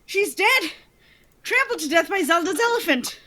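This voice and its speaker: A woman's voice: very high-pitched, animated, normal speed, sharp tone, heavily acted. A woman playing a heroic male police detective with urgent delivery in 1940s radio serial style. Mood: grave.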